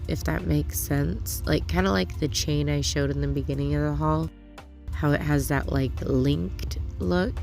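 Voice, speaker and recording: A woman's voice: low voice, creaky, darkish tone. A young woman hosting a nail supply haul, chatty and enthusiastic, a little stuffy from a cold. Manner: chatty, enthusiastic, filler-heavy. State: stuffy, getting over a cold. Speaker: nail channel host. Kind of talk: casual haul review to camera.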